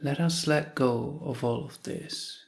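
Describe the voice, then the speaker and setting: A man leading a guided meditation in short, calm phrases with long pauses. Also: medium pitch, vocal fry. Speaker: meditation teacher.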